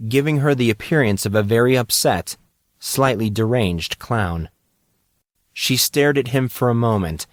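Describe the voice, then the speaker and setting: A man reads fiction aloud as a dramatic narrator. His voice sits fairly low, and the sound is dry, with little room around it. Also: dry recording. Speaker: audiobook narrator.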